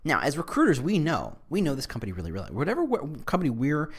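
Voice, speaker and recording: A man's voice: fairly high pitch, huge pitch swings, fast talker, husky. A middle-aged man hosting a casual solo podcast, rambling with frequent restarts and asides. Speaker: podcast host.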